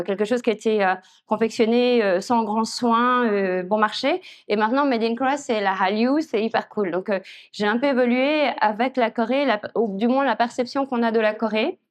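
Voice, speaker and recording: A woman, an author speaking reflectively at a public literary talk, with frequent pauses. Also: fairly high pitch. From Switzerland. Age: 50s. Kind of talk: conversational answers at a public talk. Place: festival conference room.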